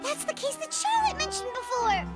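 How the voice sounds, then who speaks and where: A woman voicing a tiny, curious, childlike fairy companion in a cartoonish video game style, squeaky and wide-eyed. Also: sing-song, moderate pace, loud music behind the voice. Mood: worried surprise.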